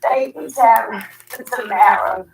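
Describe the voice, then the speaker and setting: A woman with a hoarse, raspy voice hosting a casual livestream chat. She laughs often and keeps repeating her words. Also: fairly high pitch, unhurried, noisy background. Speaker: livestream host.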